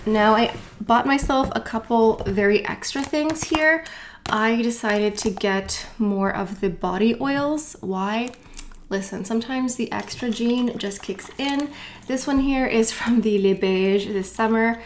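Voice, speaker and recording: A woman's voice: fairly high pitch, a little slow, slight background noise. A woman chatting casually as she unboxes and reviews beauty products, rambling with asides and fillers. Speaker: beauty vlogger.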